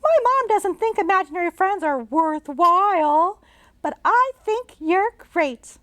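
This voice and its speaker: A bossy, imaginative little girl from a picture book, put on by a woman reading aloud. Her voice rides high and bounces with lively swings of pitch. She says everything with total confidence, up close in a dry, dead room. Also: a little slow, no echo, heavily acted. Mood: sulky. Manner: high, bright, decisive child voice.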